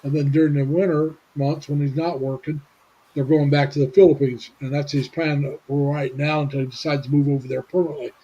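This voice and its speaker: 70yo guy from indiana, rambling on a livestream, kinda husky, muffled call audio. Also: on the high side, little pitch movement, dark tone. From Indiana, USA. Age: 70s. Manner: rambling, halting with many restarts. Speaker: livestream host. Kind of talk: casual livestream chat. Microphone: video call.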